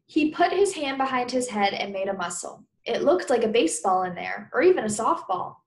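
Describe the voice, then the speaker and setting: A woman teacher reading a children's story aloud, pausing with conversational explanations and questions for pupils. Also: a little high, very animated, echoey room.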